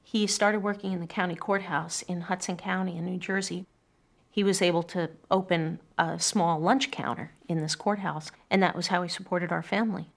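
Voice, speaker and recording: A middle-aged woman with a fairly low voice and a noticeable creak. She speaks earnestly and thoughtfully, in the reflective manner of someone sharing something personal in a quiet room. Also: fairly even intonation, normal speed. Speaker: poetry reader telling family memories. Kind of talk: reflective personal storytelling and poem reading. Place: quiet furnished room indoors.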